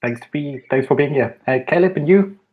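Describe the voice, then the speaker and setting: A man hosting and moderating a live online chat, talking in a relaxed way with small stumbles. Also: on the high side, brisk, phone-quality sound, slight background noise. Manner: relaxed, stumbling over words. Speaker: host and moderator. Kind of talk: casual live stream chat.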